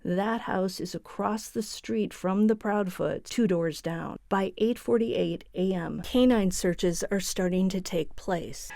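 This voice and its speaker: A woman's voice: mid-range voice, slow, vocal fry, few pauses, mellow, dry recording. Woman narrating true crime, very dry sound. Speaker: true crime show host.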